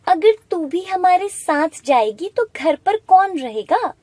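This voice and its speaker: Woman voicing a high-pitched scolding, bossy aunt. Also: sing-song, slow pace, warm tone, dry recording, full character voice. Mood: dismissive. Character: harsh, scolding aunt, spiteful to her orphaned niece. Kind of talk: animated Hindi moral story.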